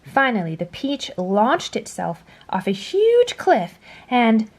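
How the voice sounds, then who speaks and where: A woman reading a children's story aloud in a dramatic storytelling style, dropping to a hush at phrase endings. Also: a little high, wildly swooping pitch, slow pace. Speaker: storybook reader. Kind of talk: expressive children's read-aloud narration.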